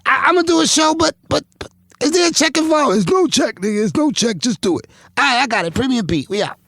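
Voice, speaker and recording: A man voicing an excitable, shouty, repetitive loudmouth celebrity in a comic show promo, swearing and stammering. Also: very high voice, fast talker, no room sound, studio quality, heavily acted. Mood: excited, indignant.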